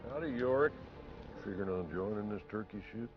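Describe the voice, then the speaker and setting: An actor playing an easygoing, wry cowboy adventurer in a video game, with a folksy American Southern drawl. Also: male voice, lowish voice, lively intonation, a little fast, close to an everyday voice. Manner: laid-back folksy drawl. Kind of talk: fantasy video game dialogue. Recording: muffled game audio under music.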